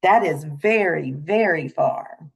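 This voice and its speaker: Woman as a gentle big father hare. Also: sits low, lively intonation, takes it slowly, gravelly, husky, exaggerated voice. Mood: fond, playful. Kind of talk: storybook character voice.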